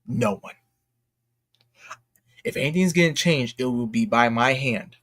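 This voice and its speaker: A man's voice: medium pitch, a bit bright, no echo. Blunt man with a very dry sound. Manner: halting, repeats phrases for emphasis; blunt, emphatic. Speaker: streamer and commentator. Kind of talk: casual opinionated livestream commentary.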